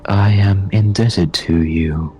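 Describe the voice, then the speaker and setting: A man voicing a solemn, grateful artificial being in a tabletop game, speaking in slow, formal, measured phrases. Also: deep voice, mellow, a little hiss or hum. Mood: solemn, urgent. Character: Navigator, solemn, grateful cosmic AI puppeteer. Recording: game music behind the voice.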